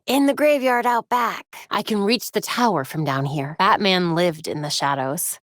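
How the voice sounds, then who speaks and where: A woman's voice: lowish voice, normal speed, no echo, natural voice. Actress as a young American female crimefighter for a game: focused, wry, tech-savvy, closely recorded and dry. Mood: investigating. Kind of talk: video game voice lines.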